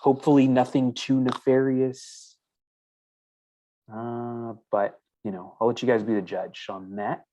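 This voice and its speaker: A man's voice: mid-range voice, little pitch movement, a little slow, mellow. A man hosting a crypto news show, reading stories aloud and adding chatty asides in a casual, conversational way.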